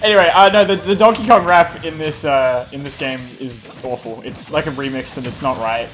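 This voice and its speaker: A young man with a slightly high voice who chats about games with a dry, teasing humor. He sounds wry and relaxed, with faint game music underneath. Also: fairly quick. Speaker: gaming channel co-host. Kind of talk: casual gaming chat. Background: video game music.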